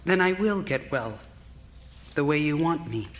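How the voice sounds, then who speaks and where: A woman playing a fragile, anguished young Victorian wife in live radio theater, with deliberate, theatrical intensity. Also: deep voice, animated, slight background noise. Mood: meek, hopeful. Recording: live theater recording with music underneath.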